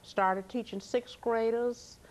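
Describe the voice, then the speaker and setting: A middle-aged woman from Tennessee, telling her life story as an interview guest in an animated way. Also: on the high side, takes it slowly. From Tennessee, USA. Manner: animated storyteller, raises her voice when quoting people. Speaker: author and teacher guest.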